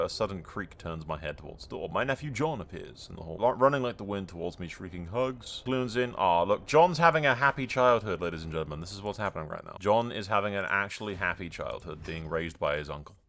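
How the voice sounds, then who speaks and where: A man's voice: deep voice, lively intonation, quick pace, few pauses, bright, forceful tone. A man doing casual let's-play commentary, sweary and blunt, slipping between exclamations and in-character roleplay narration. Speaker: gaming video host.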